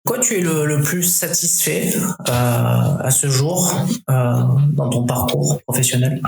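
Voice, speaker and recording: A man hosting a podcast, asking interview questions in a casual, relaxed way. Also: medium pitch, slow pace, gravelly, soft background music. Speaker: podcast host. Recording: roomy echo, faint noise.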